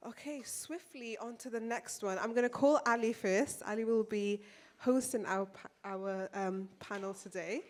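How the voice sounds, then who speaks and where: A woman's voice: a little high, normal speed, few pauses. A woman hosting an event from the stage in an informal, somewhat flustered and apologetic way. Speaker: event host introducing panels.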